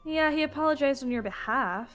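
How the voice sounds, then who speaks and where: A woman's voice: high voice, a little fast, fairly bright tone, soft background music. A woman playing an easygoing, dry-humoured dragon slayer in an amateur game roleplay. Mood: casual, dry.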